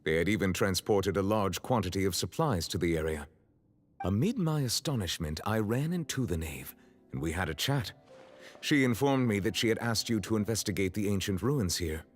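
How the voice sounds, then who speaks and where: A man playing a dignified, reserved high official in a video game, speaking formally and politely in measured phrases. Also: lively intonation, faint music behind. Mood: calm explaining. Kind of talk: video game character acting.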